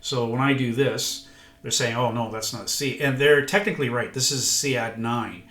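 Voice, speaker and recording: A man's voice: medium pitch, fairly even intonation, moderate pace, pushed and bright. An older man vlogging casually about learning guitar, folksy and self-deprecating, sounding a bit congested. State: congested, recovering from illness. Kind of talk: casual vlog chat. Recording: echoey room, guitar playing.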